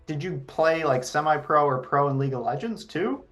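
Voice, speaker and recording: A man's voice: fairly high pitch, expressive, moderate pace, a bit bright, faint music behind. A man hosting an interview, asking questions in a friendly, hesitant way with lots of fillers. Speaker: interviewer, channel host.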